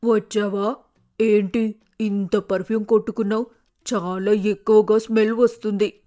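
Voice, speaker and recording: A woman's voice: mid-range voice, little pitch movement, moderate pace, warm tone, lightly acted. A woman voicing a stern, practical mother bird in a cartoon moral story, nagging and scolding in a matter-of-fact way. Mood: suspicious, scolding.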